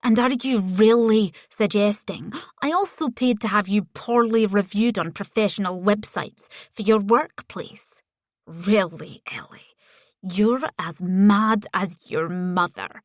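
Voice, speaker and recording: A woman doing a gravelly, taunting older woman. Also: mid-range voice, slow pace, dry recording, studio quality, close to an everyday voice. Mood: gleeful mocking. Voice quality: gravelly smoker's voice. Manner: mocking, gleeful, taunting. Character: spiteful gloating older woman, a grieving mother. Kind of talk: audiobook character voice.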